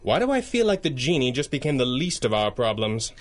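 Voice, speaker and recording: An actor playing a resolute, cerebral masked superhero and gentlemanly leader in a 1930s-style radio drama, measured, dry and articulate. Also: male voice, medium pitch, very animated, lightly acted. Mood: grimly puzzled.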